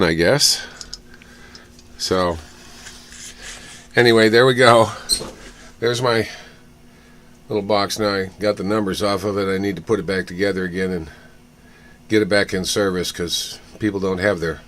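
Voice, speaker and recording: Guy doing a casual hands-on vlog, talks real slow and halting, kinda muttering, some noise behind him. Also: lowish voice, fairly bright tone. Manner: halting, muttering to himself while inspecting. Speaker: hobbyist vlogger showing old computer hardware. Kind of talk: casual off-the-cuff hands-on commentary. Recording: clear background noise with room ambience.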